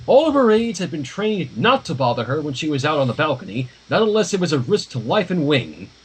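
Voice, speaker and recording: A man's voice: on the high side, very animated, fairly quick, slightly rough, low-quality recording. A man reading a story aloud informally on a livestream, dropping in casual asides. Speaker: livestream fan fiction reader.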